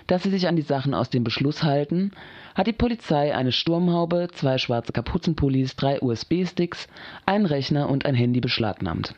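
A man's voice: fairly high pitch, darkish tone, dry recording, close to an everyday voice. A man narrating a scripted radio play in a matter-of-fact way. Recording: dull lo-fi but dry recording.

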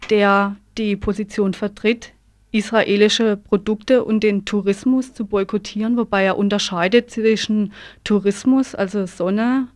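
A woman works as a radio presenter, introducing programme items. She speaks at a medium pitch in an explanatory, semi-scripted way. Her delivery is a little halting, as she corrects herself while going.

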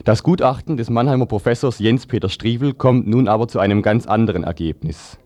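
A man's voice: medium pitch, a little fast, mellow, no echo. A man reading scripted news on the radio as a newsreader. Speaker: news reader.

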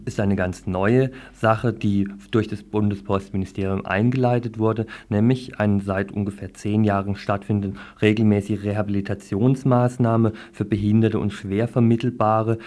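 A man with a fairly low voice hosts a radio news magazine. He reports as a presenter, with an informal touch to his delivery. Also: flat delivery, moderate pace, hardly any pauses, a little mellow.

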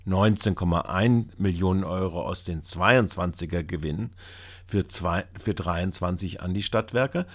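A man reading scripted, critical news commentary in a formal, halting way with long sentences. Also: deep voice. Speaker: reporter and commentator.

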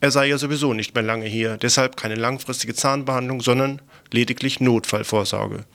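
A man's voice: medium pitch, fairly even intonation, a little fast, vocal fry, a bit bright. A man presenting a scripted radio news report in a matter-of-fact, critical, faintly sardonic way.

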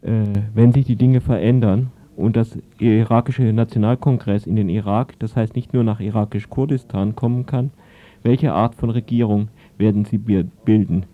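A man's voice: lowish voice, fairly even intonation, moderate pace. A man asking formal questions as an interviewer. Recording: slightly dull radio sound.

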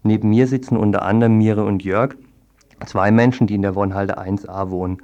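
Low-voiced man reporting on radio. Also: fairly even intonation, fairly quick, mellow, muffled recording. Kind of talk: scripted news report and studio interview.

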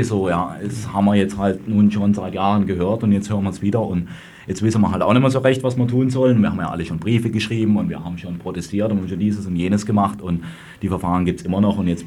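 A man speaking as a lawyer in a radio panel discussion, deliberating haltingly as he goes. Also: sits low, darkish tone, husky. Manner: halting, deliberating with hesitant pauses.

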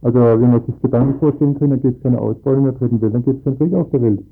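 Fast, creaky-voiced man arguing emphatically. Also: mid-range voice, fairly even intonation. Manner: emphatic, repetitive, argumentative. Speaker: interviewed local resident. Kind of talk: informal radio discussion. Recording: tinny narrowband archive radio recording.

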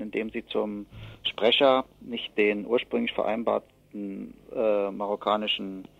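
Halting low-voiced man, dull lo-fi radio sound. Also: creaky, husky. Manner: halting, hesitant, with frequent filled pauses and rephrasing. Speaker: expert guest from an advocacy association. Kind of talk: spontaneous political analysis in an interview.

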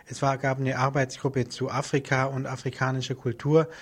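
Man, medium pitch, radio studio guest, matter-of-fact, orderly spontaneous answers. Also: completely flat delivery, normal speed, husky. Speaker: radio station staffer, interview guest. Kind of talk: unscripted explanatory interview answers.

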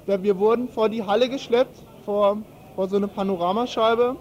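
A man giving a spontaneous, halting eyewitness account as a protester. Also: high voice, fairly even intonation, a little mellow, dull audio. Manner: halting, groping for words.

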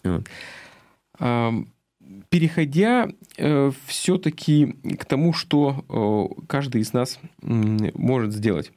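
Male commentator from Russia, mid-pitched voice, earnest and explanatory, semi-prepared talk with hesitant phrasing. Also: expressive, takes it slowly, a little mellow. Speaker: political commentator.

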